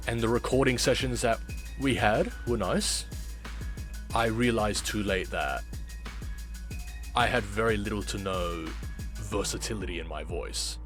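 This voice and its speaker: A man narrating a reflective vlog in a deliberate way, with dramatic pauses and emphatic repetition. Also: lowish voice, music in the background. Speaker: video creator, former amateur voice actor.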